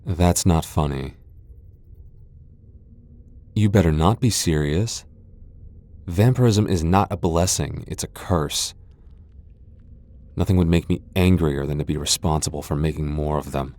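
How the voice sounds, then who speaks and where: Casting: male actor as haughty tsundere vampire lord, low-pitched, prickly and easily flustered, for intimate boyfriend roleplay audio. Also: a little slow, pauses often, a little mellow. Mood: guarded, smug. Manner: haughty, defensive, stammering when flustered. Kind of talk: ASMR boyfriend roleplay acting.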